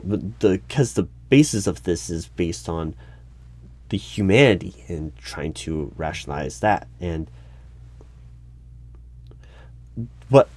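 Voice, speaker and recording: A man playing an exasperated, argumentative hiring manager in an audio roleplay, speaking haltingly and in formal wording. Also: low-pitched, dark tone, no echo, full character voice. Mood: stern, reasoning. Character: exasperated male human-resources hiring manager interviewing a robot. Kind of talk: one-sided audio roleplay.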